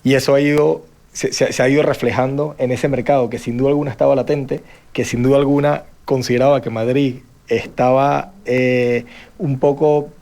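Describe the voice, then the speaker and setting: Venezuelan male panelist, relaxed anecdotal delivery, middle pitch. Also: normal speed, creaky. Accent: Venezuelan Spanish. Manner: conversational, self-correcting, anecdotal. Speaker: panel guest, real estate executive. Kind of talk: round-table discussion.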